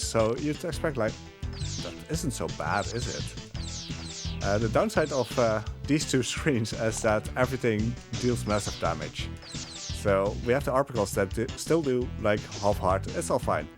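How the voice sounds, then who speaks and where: A man giving easygoing, conversational live commentary as he plays through a game. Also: mid-range voice. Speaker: speedrunner playing and commentating. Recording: game music behind.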